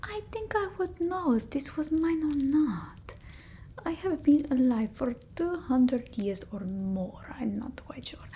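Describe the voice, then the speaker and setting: An eccentric, theatrical vampire woman centuries old, acted by a woman, murmurs in a high, mellow voice. She speaks very slowly and haltingly in breathy whispers, doting and dramatic, in a close-up ASMR roleplay. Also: a little hiss or hum. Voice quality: soft, breathy, often whispered. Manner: halting, theatrical, with quaint phrasing. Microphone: close ASMR microphone.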